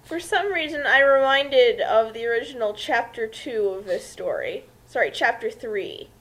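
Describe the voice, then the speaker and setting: A woman's voice: on the high side, animated, moderate pace, rough recording. A woman co-hosting a casual comic commentary in a sarcastic way. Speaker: co-host giving commentary.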